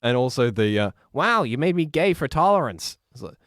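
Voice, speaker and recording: A man chatting casually as a podcast guest, grumbling his criticisms in a halting way. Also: mid-range voice, big pitch swings, fairly bright tone, no room sound, clean studio sound. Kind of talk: casual review chat.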